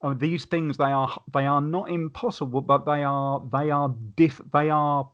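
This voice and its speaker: A man's voice: on the high side, flat delivery, normal speed, fairly bright tone. Man on a slightly muffled mic. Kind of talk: casual podcast discussion.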